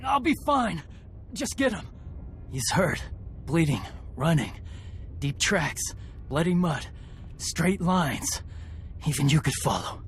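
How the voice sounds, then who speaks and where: A man's voice: fairly high pitch, big pitch swings, slow, long pauses, slight background noise, full character voice. A man voicing a stoic, earnest young warrior in a video game, speaking in clipped phrases. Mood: strained, urgent. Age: young adult. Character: determined, stoic young male Mandalorian warrior. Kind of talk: dramatic video game dialogue. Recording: faint game music behind the voice.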